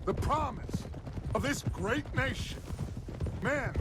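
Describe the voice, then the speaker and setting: A charismatic outlaw boss from a western game, slow grand speechifying, loud hoofbeats and noise behind. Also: male voice, very high-pitched, expressive, heavily acted. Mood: impassioned. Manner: grandiose, oratorical, deliberate. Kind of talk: dramatic cinematic game acting.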